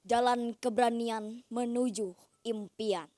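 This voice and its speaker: Woman, poetry recital, high pitch, slow measured pace, solemn, declamatory, dry sound. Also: steady tone, mellow, no echo. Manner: declamatory, dramatic pauses.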